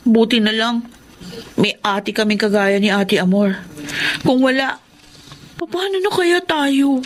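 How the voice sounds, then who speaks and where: Caring practical sister in a radio drama, high voice, bit of background noise. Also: female voice, slow. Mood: worried, practical. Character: practical, concerned young sister and daughter. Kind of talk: Tagalog radio drama acting.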